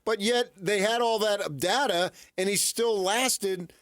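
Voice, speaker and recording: An American man co-hosting a sports debate, measured, conceding a point and then countering it. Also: sits high, fairly bright tone, no echo, clean studio sound. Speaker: sports radio co-host.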